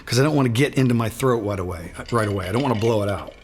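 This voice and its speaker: A middle-aged man with a raspy voice and sinus trouble, teaching a singing warm-up in a relaxed, self-deprecating way. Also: fairly low pitch, racing, over music. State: sinus trouble, throat not feeling great. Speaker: guitarist giving a singing lesson. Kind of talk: casual instructional talk.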